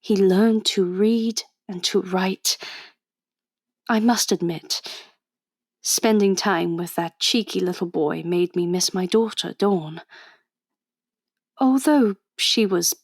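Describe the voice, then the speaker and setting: A woman's voice: mid-range voice, slow, long pauses, no room sound, clean studio sound. A woman playing a witty, stubborn Edwardian undercover agent in a radio drama, British accent, with formal, chatty period phrasing. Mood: confiding, reflective. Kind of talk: radio drama acting and first-person narration.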